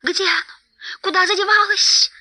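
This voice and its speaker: A woman voicing a wretched, spiteful, greedy male cave creature, with drawn-out, repeated words and a hissing, whispery voice that can turn to shrieking. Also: very high-pitched, fairly even intonation, bright, forceful tone, dry recording, pitched above the performer's own voice, heavily acted, low-quality recording. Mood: frantic, wailing.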